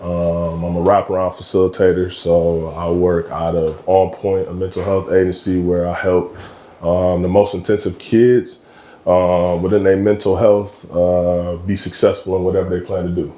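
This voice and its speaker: Deep laid-back man in an echoey room. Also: level tone, moderate pace, dark tone. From Michigan, USA. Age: 30s. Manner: laid-back, slangy, lots of ums. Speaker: guest, college basketball coach. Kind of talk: casual interview chat.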